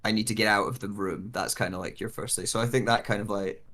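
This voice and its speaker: Rambling, lowish-voiced man chatting. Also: fairly even intonation, fast, bright, forceful tone. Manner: rambling, restarts sentences, frequent "like" and "you know". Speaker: guest voice actor. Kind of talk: casual discussion of a role.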